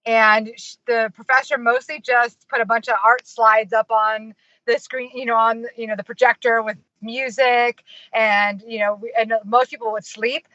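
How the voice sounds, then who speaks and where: A bright, fairly high-voiced American woman talks in a chatty, giggly manner, full of fillers. She sounds like an interview guest on a dry, dull, lo-fi remote call. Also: flat delivery, normal speed, dry recording. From United States. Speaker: marketing consultant and professor. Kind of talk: casual interview storytelling.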